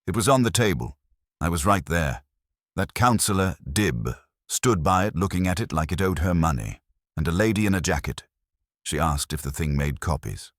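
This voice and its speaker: An earnest young technical lad in an audiobook mystery, voiced by a deep-toned man. He is literal, loyal and easily ruffled, with animated pitch in a dry, close recording. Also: normal speed, no room sound. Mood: earnest, defensive. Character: earnest, touchy, technically minded boy assistant.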